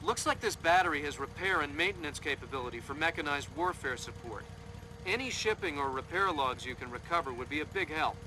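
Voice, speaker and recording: An actor playing an easygoing, keen intelligence colleague in a video game, informal yet businesslike. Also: male voice, a little high, expressive, brisk, background noise, natural voice. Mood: casual, keen.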